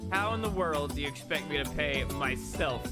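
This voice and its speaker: A man's voice: on the high side, expressive, moderate pace, close to an everyday voice. A man voicing a sardonic, exasperated young woman in a visual novel, dry and skeptical as she talks with her own mind. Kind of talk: visual novel character read-aloud. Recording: loud music behind. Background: loud music.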